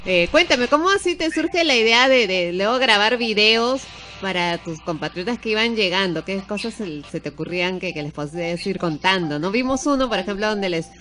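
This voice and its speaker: A woman's voice: medium pitch, animated, moderate pace, pushed and bright, soft background music. A woman co-hosting a radio interview who asks questions hesitantly and repeats words while she forms them. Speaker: radio co-host.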